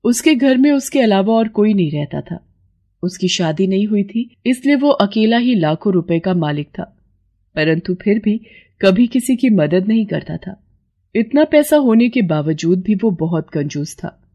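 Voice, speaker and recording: Mellow woman narrating a story. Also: mid-range voice, lively intonation, a little slow. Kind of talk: scripted moral story narration.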